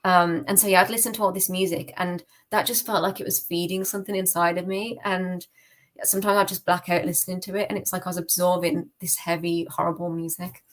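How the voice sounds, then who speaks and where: A woman with a British accent and a slightly low voice shares a personal account in a relaxed interview. She is candid, often hesitating and rewording her sentences as she talks. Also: a little fast. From United Kingdom. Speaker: podcast guest. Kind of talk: personal testimony in casual interview.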